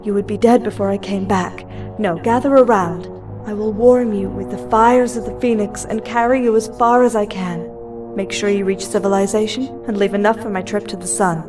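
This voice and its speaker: A woman's voice: medium pitch, fairly even intonation, normal speed, over music, close to an everyday voice. A woman playing a weary, godlike superheroine in an audio drama, grave, resolute and dramatic. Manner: solemn, dramatic.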